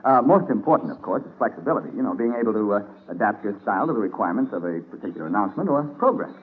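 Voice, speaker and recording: Quick, lively 1940s radio announcer man. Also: lowish voice, slightly rough. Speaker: radio announcer giving pointers. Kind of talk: scripted 1940s radio banter. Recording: narrow-band 1940s radio broadcast recording.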